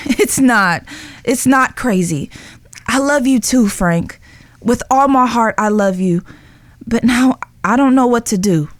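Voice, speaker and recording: A woman playing a guilt-ridden, lovestruck young outlaw spy in an audio drama, speaking earnestly and emotionally with pauses. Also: a little high, no room sound. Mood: tender, pleading.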